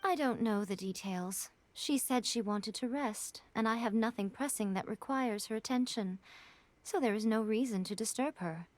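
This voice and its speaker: A woman's voice: fairly high pitch, moderate pace, close to an everyday voice. A woman voicing a sly, teasing shrine priestess in a game cutscene, playful and mocking in manner.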